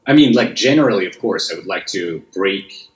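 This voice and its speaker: Deep-voiced Russian-accented man, roomy sound. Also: expressive, brisk. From West Siberia, Russia. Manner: upbeat, sometimes searching for English words. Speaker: guest actor. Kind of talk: casual interview chat.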